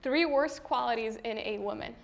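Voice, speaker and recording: A woman's voice: a little high, moderate pace. A young woman hosting a show and asking casual interview questions. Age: young adult. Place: indoor room, on a couch or chair.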